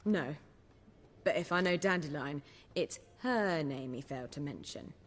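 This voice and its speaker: An actress playing a sharp-tongued, worldly woman in a video game, with a sardonic, dry manner. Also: deep voice, expressive, a little slow, long reverb tail.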